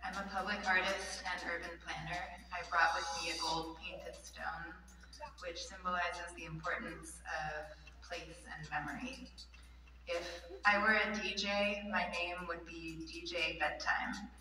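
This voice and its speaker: A woman's voice: fairly low pitch, level tone, unhurried. Playful woman far off in a hall. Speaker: public artist introducing herself on stage. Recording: echoey hall, mic far off, noise behind.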